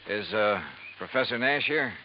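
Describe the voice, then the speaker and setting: A man's voice: fairly low pitch, expressive, normal speed, background noise, close to an everyday voice. An actor playing a hard-boiled male insurance investigator who narrates in an easygoing, wry first person, in 1950s radio drama acting. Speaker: narrator and lead. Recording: dull old radio recording with music.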